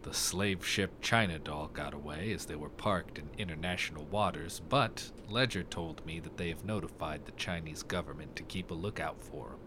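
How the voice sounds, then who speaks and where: A man's voice: very deep voice, moderate pace, few pauses, noisy background. A man playing a laconic, wry private detective and war veteran in a 1930s noir radio drama.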